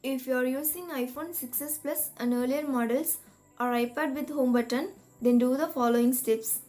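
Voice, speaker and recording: A woman reading a scripted step-by-step tech tutorial in a measured, instructional manner. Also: high voice, a little mellow. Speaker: tutorial narrator. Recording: voice-over with music behind. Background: background music.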